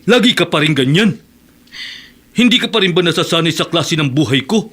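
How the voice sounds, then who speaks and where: An actor playing a stern, domineering militant husband in a radio drama, speaking in a firm, commanding way. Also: male voice, on the high side, expressive, fairly quick, fairly bright tone, breathy edge. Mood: defensive. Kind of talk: Tagalog radio drama acting.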